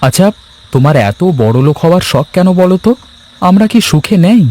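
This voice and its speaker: A man voicing village farmers in a cartoon fairy tale, colloquial and expressive. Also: high-pitched, a little fast. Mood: gentle, reasoning. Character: male villagers: plain farmers, husband, scheming rival.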